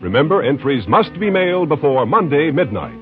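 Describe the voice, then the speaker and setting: A man's voice: on the high side, big pitch swings, fairly quick, music in the background. An American man announcing credits on 1950s network radio in a formal, polished manner. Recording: dull 1950s radio broadcast recording.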